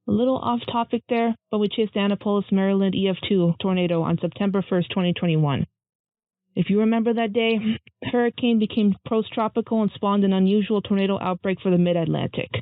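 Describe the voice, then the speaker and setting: Phone-like, narrowband audio, very dry. An earnest storm chaser, as acted for a drama gives scripted interview answers in a medium-pitched voice. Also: no room sound, lightly acted. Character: earnest young storm chaser named Juniper, recounting past chases.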